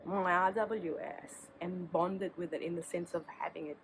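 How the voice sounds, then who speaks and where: A woman's voice: lowish voice, fairly even intonation, moderate pace, a little hiss or hum, low-quality recording. A woman with a sore throat and a cold, chatting casually to camera outdoors as the host of her tarot channel, rambling and restarting sentences. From Australia.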